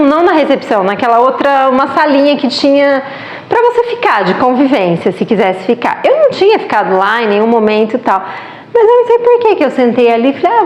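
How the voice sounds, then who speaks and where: Chatty Brazilian woman, bright and echoey. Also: high-pitched, highs cut off. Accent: Brazilian Portuguese. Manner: chatty, animated, laughs often, says "né". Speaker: travel vlogger. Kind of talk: casual travel storytelling.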